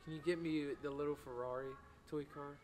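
A man's voice: on the high side, fairly even intonation, brisk, a little mellow, a little hiss or hum. A young American man chatting casually and jokingly to camera with playful, boastful slang. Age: young adult. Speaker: vlogger. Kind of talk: casual joking vlog chat. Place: mostly inside a large party store.

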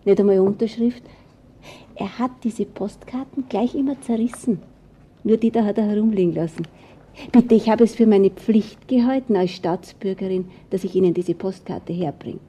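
A woman acting a dutiful, vindictive Austrian informant in a radio crime play. Her voice is husky and very dark, with a hesitant, self-important manner. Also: mid-range voice, moderate pace, close to an everyday voice. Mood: hesitant, righteous. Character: nervous, righteous female informant, dutiful and vindictive.

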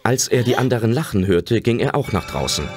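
A man's voice: lowish voice, big pitch swings, fairly quick, music in the background. A man narrating a scripted children's adventure audio drama in a storytelling style.

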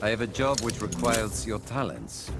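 An actor playing a cold, grieving villain scientist in a video game, speaking in a formal, measured, menacing way. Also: male voice, lowish voice, very animated, creaky. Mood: clinical threat. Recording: loud music under the voice.

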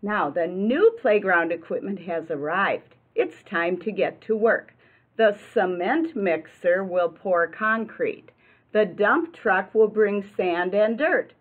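Older American woman, unhurried expressive children's read-aloud, teacherly asides, dull lo-fi recording. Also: medium pitch. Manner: expressive, deliberate, asks listeners tag questions. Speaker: teacher reading a picture book aloud. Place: classroom, indoors. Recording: muffled, lo-fi webcam-like sound.

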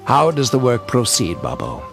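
A man's voice: mid-range voice, huge pitch swings, moderate pace, vocal fry. A man playing a refined, scheming crime lord in a comic audio drama, suave, grandiose and menacing. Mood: suave menace. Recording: music under the voice.

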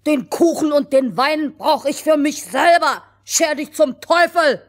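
A rude, selfish young lad from a storybook, acted by a woman. The voice is loud and pushed higher, brusque and full of scorn. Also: unhurried. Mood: scornful.

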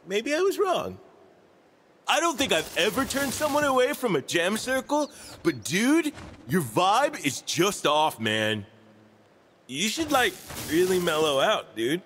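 A man's voice: sits high, big pitch swings, unhurried, fairly bright tone, big-room sound, slight background noise, exaggerated voice. A man voicing a laid-back, nature-loving hippie stoner wizard for a video game, with a drawling, spaced-out surfer-dude delivery. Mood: dreamy, chatty. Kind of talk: video game character voice lines.

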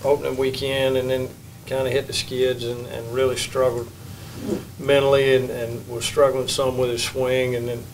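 An older man, a baseball head coach, answering questions at a postgame press conference in a plain, measured way at a table microphone. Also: medium pitch, little pitch movement, a little hiss or hum, low-quality recording. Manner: measured, plain-spoken, many restarts. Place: press room. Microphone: table mic.

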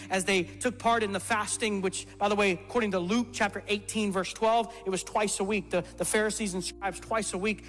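A middle-aged man preaching a sermon as pastor, emphatic and repeating words for stress. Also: high-pitched. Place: church stage before a congregation. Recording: reverberant hall with soft music.

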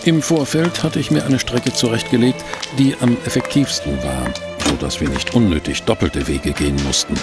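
An actor playing a polite, pensive private detective who narrates and investigates in a German audio drama. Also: male voice, medium pitch, normal speed, hardly any pauses, over music, close to an everyday voice. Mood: measured narration. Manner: courteous, pensive, hesitant. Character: polite, inquisitive male private detective, narrating his case.

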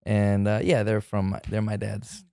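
A man in his thirties from the San Francisco Bay Area, chatting as a guest actor in a relaxed interview, full of fillers and restarts. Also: lowish voice, moderate pace, creaky, smooth, no room sound, studio quality. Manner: hesitant, many fillers and restarts. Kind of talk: casual interview chat. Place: living room with sofa. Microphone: studio mic on arm.

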